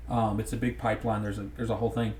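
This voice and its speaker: A man's voice: on the low side, flat delivery, racing, a little mellow. Rambling Southern man, echoey room. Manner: rambling, many restarts. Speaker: podcast co-host. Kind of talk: casual chat. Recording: roomy echo.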